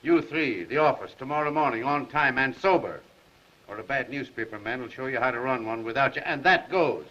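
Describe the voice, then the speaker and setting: An actor playing a stern, sarcastic newspaper editor with a clipped, authoritative delivery in a 1930s film. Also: male voice, medium pitch, animated, fairly quick, sharp tone. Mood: stern, commanding. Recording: muffled 1930s film soundtrack.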